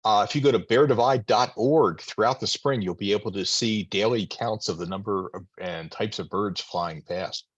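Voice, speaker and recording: Man, husky voice, rambling, casual video-call remarks, dry, dull lo-fi audio. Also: fairly low pitch, normal speed, hardly any pauses, no echo. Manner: rambling, full of fillers and restarts. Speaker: society member presenting.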